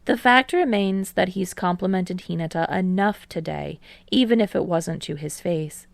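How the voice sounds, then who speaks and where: A woman's voice: lowish voice, moderate pace, creaky, no echo. A woman reading a scripted story aloud as an audiobook narrator. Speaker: fan fiction audiobook narrator.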